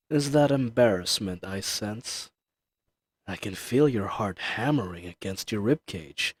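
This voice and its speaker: A man's voice: lowish voice, dry recording. A man playing an ancient dragon in roleplay audio, imperious and teasing, dangerous yet tender, speaking to the listener in formal, old-fashioned phrases. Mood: teasing, seductive. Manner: slow, haughty, teasing, formal archaic diction.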